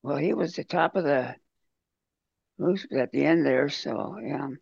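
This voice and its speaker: Male book club member, very gravelly and slightly high, halting casual talk, muffled recording. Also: darkish tone, no room sound. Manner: halting, rambling delivery. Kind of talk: casual group chat.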